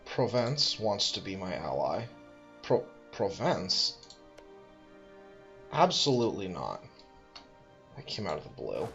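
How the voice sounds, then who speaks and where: A man talks through his moves in a strategy game, pausing to think and correcting himself with dry little jokes. His voice sits low but swings up and down a lot. The recording is a bit dull and lo-fi, with soft music underneath. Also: sing-song, gravelly. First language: English. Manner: musing, self-correcting, dry asides. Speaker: let's play host.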